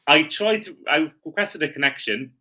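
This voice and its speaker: Male sports podcast co-host, bright forward tone, slightly high pitch, chatty and informal. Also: animated, moderate pace. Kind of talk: casual podcast chat.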